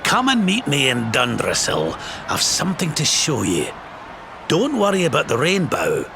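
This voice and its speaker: An actor playing a sly, teasing old man in a video game, with a gravelly voice. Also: mid-range voice, wildly swooping pitch, normal speed, background noise. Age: older. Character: wise, mischievous old man, grandfather figure.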